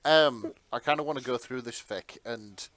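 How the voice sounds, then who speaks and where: A man co-hosting a podcast, bantering casually about fan fiction in an excitable, sweary way. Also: mid-range voice, moderate pace, no echo, low-quality recording. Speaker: podcast co-host.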